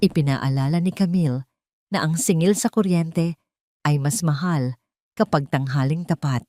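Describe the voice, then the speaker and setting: A Filipino woman narrates a scripted audio drama story. Her voice sits low and has a soft, dark tone. She phrases each sentence in a measured, clear storytelling manner.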